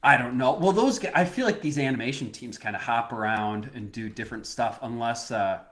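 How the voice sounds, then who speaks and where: A man's voice: medium pitch, brisk, fairly bright tone. A man co-hosting a podcast, chatting casually and giving opinions in rambling asides. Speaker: podcast co-host. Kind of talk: casual chat about movies.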